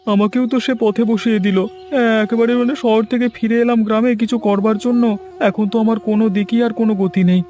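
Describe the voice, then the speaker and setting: A man's voice: sits high, steady tone, fast talker, mellow, lightly acted. A man voicing an anxious, swindled villager in a cartoon fairy tale, plaintive and exclaiming. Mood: despairing.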